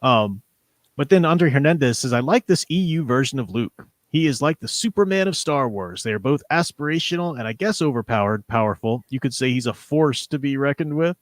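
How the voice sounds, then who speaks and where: A man's voice: medium pitch, lively intonation, fairly quick, dry recording, clean studio sound. A man hosting a live stream, talking casually in an enthusiastic, opinionated, rambling way and reading out viewer comments.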